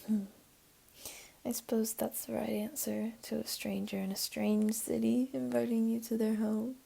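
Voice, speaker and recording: Casting: woman to play teasing, doting jaguar girl for ASMR roleplay; soft, mellow, whispery, slightly high voice. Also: level tone, moderate pace, exaggerated voice. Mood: concerned, inviting. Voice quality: soft, breathy, often whispery. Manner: teasing, cooing, soothing. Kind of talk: ASMR roleplay, intimate one-to-one.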